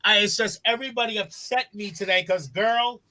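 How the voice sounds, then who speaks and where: A man's voice: sits high, steady tone, fairly quick, crowd noise. A man hosting a drag podcast, brash and campy, gossiping and swearing freely. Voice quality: says voice has less gravel than before. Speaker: drag queen podcast host.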